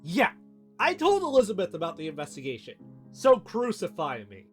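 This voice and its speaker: A man's voice: high-pitched, wildly swooping pitch, moderate pace. Audio drama casting: male actor as defensive young man, argumentative and natural, widely swinging intonation, faint music underneath. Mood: defiant. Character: Kyle, defensive young man accused of betraying his friends.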